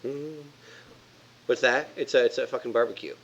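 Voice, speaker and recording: A man's voice: medium pitch, normal speed, fairly bright tone, slight background noise. A man chatting casually as a player in a tabletop game. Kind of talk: casual chat. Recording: dull lo-fi microphone sound.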